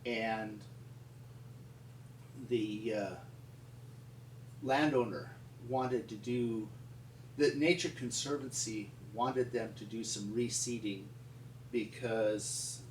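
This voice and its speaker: An American man telling stories in an unscripted, conversational way, with a rambling, halting delivery. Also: mid-range voice. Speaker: paleontologist and museum founder telling stories. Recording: echoey, distant mic with background noise.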